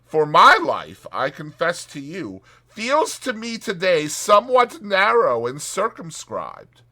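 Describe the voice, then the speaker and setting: Man as an earnest high-pitched water rat. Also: huge pitch swings, takes it slowly, bright, forceful tone, exaggerated voice. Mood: wistful. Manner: hesitant, earnest, wondering. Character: earnest, curious water rat, wistful stay-at-home. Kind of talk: dramatized audiobook character reading.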